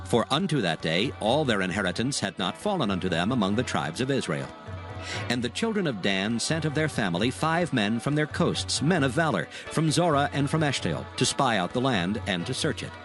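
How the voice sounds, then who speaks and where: A man narrating dramatized scripture in a solemn, measured storytelling manner. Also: mid-range voice, big pitch swings, music in the background.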